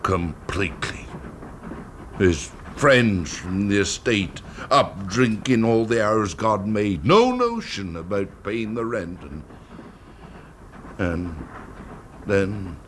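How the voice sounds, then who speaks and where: A male actor playing a meek, bitter, grieving older blind man in a radio drama, speaking in halting phrases. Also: fairly low pitch, animated. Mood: bitter, sorrowful. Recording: very noisy old radio drama recording.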